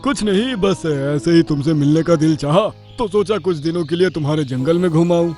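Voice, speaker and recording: An actor playing a sly, arrogant wolf villain with a swaggering, mocking manner in a children's cartoon. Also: male voice, a little high, normal speed, darkish tone, soft background music, lightly acted. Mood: fake friendly. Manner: swaggering, mocking, chuckling slyly. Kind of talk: Hindi children's cartoon voice acting.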